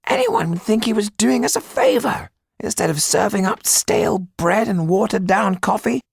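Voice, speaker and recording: A man voicing a sharp, tetchy, imperious schemer in a historical audiobook, in a creaky falsetto. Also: sing-song, moderate pace, no echo, clean studio sound, heavily acted. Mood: impatient. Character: sharp, tetchy Frenchman scheming in a revolutionary cafe.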